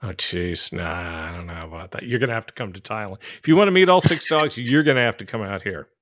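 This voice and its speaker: A man's voice: fairly low pitch, lively intonation, fast talker, fairly bright tone. A man hosting a casual interview, laughing often and bantering with his guests. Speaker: podcast host.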